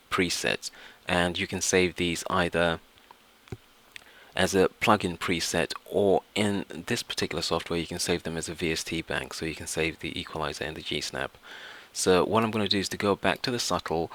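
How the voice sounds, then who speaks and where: A man narrating a software tutorial, explaining step by step in an instructional way. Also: low voice. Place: indoors, voice-over to screen recording.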